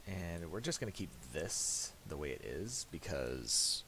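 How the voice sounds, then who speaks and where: A man giving a casual software tutorial, speaking haltingly and restarting his phrases as he explains each step. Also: sits low, very animated, slight background noise. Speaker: tutorial presenter.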